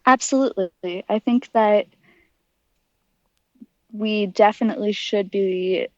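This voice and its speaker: A woman's voice: mid-range voice, slow, a little mellow, dry recording, highs cut off. A woman in her early twenties giving articulate, earnest, explanatory answers as an interview guest. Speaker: interview guest, aspiring astronaut.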